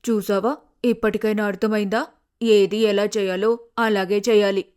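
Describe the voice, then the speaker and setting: A woman's voice: mid-range voice, little pitch movement, normal speed, darkish tone, clear, resonant tone, close to an everyday voice. A woman voicing a stern, scolding older sparrow mother-in-law in a cartoon. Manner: scolding, firm.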